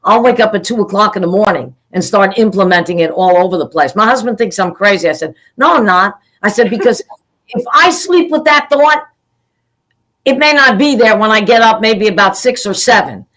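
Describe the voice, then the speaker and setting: A woman's voice: medium pitch, animated, normal speed. A middle-aged woman hosts an interview in a mid-range voice. She chats easily and heaps effusive praise on her guest. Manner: warm, chatty, effusive praise. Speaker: pageant CEO, interview host. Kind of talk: casual interview talk.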